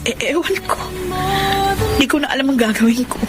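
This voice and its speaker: A woman's voice: sits high, lively intonation, brisk. An actress with a Filipino accent playing a modest, emotional young woman in a romantic radio drama. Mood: troubled. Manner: earnest, emotional, polite. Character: modest, hurt young woman tutor in love. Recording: music under the voice. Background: music.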